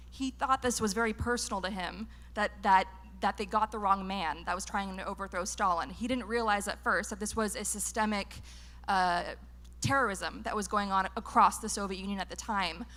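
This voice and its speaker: A woman's voice: mid-range voice, pushed and bright. Earnest American woman giving a talk. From Northern California, USA. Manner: earnest, driven, with frequent ums and restarts. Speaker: public speaker. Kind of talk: conference talk with slides. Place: lecture venue. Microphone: handheld microphone.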